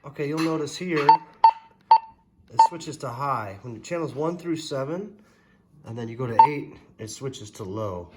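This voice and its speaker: A man's voice: mid-range voice, lively intonation, measured pace. A man giving a casual, plainspoken hands-on product demonstration in a folksy way. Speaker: product reviewer. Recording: loud background noise, vehicle ambience.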